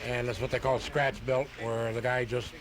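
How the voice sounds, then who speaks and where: A man's voice: mid-range voice, flat delivery, normal speed, a crowd in the background. A man casually explaining his hobby as a radio interview guest, in a matter-of-fact way. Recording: noisy home tape of live radio.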